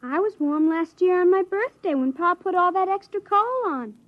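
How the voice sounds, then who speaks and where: A woman's voice: extremely high pitch, expressive, normal speed, dry recording. An actress playing a vain, sulky teenage girl with a pert, petulant voice, in a 1950s radio drama. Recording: tinny 1950s radio broadcast.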